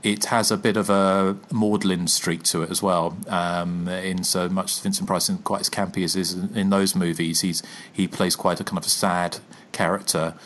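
Man, low voice, casual podcast host, measured talk with ums. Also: little pitch movement, fairly bright tone. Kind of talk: casual film review chat.

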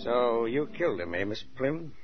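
An actress playing a stunned, halting questioner who confronts a killer in a 1940s radio drama. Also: female voice, a bass voice, big pitch swings, over loud music. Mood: probing. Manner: halting, incredulous. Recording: muffled 1940s radio broadcast.

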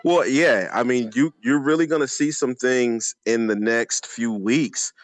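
A man's voice: medium pitch, a little slow, no echo, soft background music. A man giving conversational sports analysis as an announcer and former player, emphatic, repeating phrases and drawing on anecdotes. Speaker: sports announcer and former college running back.

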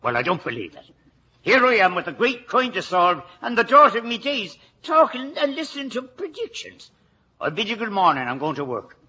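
An actor playing a blustering, gruff Irish police sergeant and father in a 1950s radio play. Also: high voice, sing-song, fairly quick, noisy background. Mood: indignant. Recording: muffled old radio broadcast.

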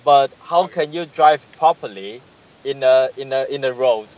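A man answering interview questions over a call, halting and searching for words, often repeating himself. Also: mid-range voice, a little mellow, highs cut off. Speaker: guest, tour business manager.

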